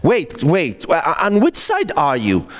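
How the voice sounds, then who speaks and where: A chatty teenage girl pitched high and bright, as a man puts her on. She gushes and frets in quick rising bursts, swinging wide in pitch. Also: exaggerated voice. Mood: puzzled. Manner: high, excitable and gushing. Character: girl a little older than the son, chatty and nervous.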